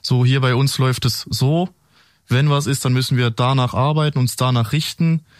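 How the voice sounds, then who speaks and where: A man's voice: mid-range voice, fairly even intonation, moderate pace, no echo. The bilingual French-German policeman invited into a tight, dry podcast studio, a man carefully laying out a case piece by piece for the listeners. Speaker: police officer, interview guest. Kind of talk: recounting a case in a studio interview.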